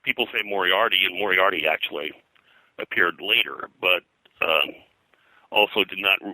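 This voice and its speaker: A man recounting history as an interview guest, in a halting, digressive, detail-heavy way. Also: deep voice, bright, forceful tone, dry recording, low-quality recording. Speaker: pop culture historian, guest.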